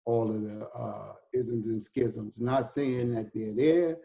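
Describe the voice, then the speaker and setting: An American man in his fifties, a DJ and hip house artist, talking in a relaxed conversation and laughing easily. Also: medium pitch, takes it slowly, gravelly. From United States. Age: 50s. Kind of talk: casual conversation.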